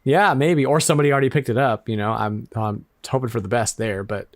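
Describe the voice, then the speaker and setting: A man's voice: mid-range voice, steady tone, quick pace, dry recording, studio quality. Enthusiastic male podcast co-host. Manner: enthusiastic, laughs often, repeats words. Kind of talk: casual chat.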